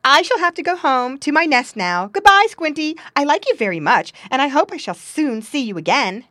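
A woman's voice: sits high, very animated, normal speed, bright, forceful tone, dry recording, clean studio sound, voice pushed up, exaggerated voice. A woman voicing a cheerful, confident young girl squirrel in a storybook, chattering in a chirpy, breezy way. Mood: friendly.

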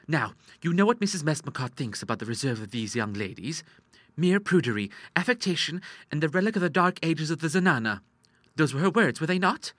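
Guy doing a high girly voice, mischievous excited young lady in an audiobook, teasing and super lively. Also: no echo, heavily acted. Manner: excited, teasing, girlish gushing. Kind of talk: audiobook character acting.

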